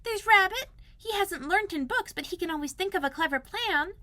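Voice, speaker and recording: A woman voicing a very small, timid piglet who is anxious and wistful, in a squeaky, plaintive storybook manner. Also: expressive, normal speed, sharp tone, heavily acted. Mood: anxious musing.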